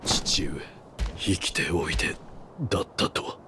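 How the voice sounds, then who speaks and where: An actor playing a stoic, loyal and grim shinobi in a video game, speaking in a terse, restrained way. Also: male voice, a little slow, noisy background, natural voice. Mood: subdued. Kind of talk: understated video game acting. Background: music.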